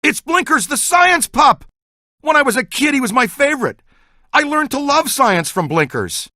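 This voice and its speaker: An actor playing an excitable, geeky male scientist who investigates the paranormal in a video game, earnest and full of technical jargon. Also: very high voice, moderate pace, no echo, clean studio sound, full character voice. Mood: excited, awed. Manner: earnest, technical jargon, swings into boyish enthusiasm. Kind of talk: scripted game dialogue.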